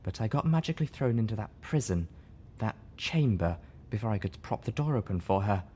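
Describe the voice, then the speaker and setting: Soft, sorrowful female pony with a caring streak, for a fantasy tale; male reader. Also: fairly low pitch, expressive, a little fast, a little hiss or hum, lightly acted. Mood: morose. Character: refined female pony, sorrowful, caring, sharp when hurt.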